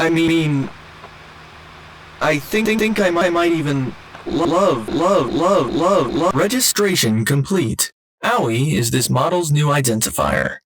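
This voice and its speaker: A man voicing a gentle robot boyfriend in an ASMR roleplay, with formal, clinical phrasing delivered tenderly and a little hesitantly. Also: fairly high pitch, heavily acted. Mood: flustered, stammering, then clinical, measured. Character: gentle male robot boyfriend learning to feel love.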